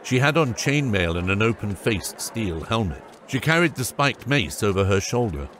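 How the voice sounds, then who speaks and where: A man's voice: on the low side, sing-song, moderate pace, a bit bright, a little hiss or hum. A man narrating a scripted fantasy audiobook.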